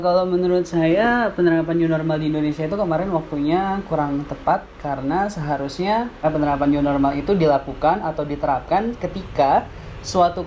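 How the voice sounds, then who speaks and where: A young woman in her twenties answers in a measured, hesitant way. Her voice is low and mellow. Faint noise sits behind her. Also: dull audio. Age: 20s. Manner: measured, hesitant, many "eh" fillers. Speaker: interviewee. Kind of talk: interview answers.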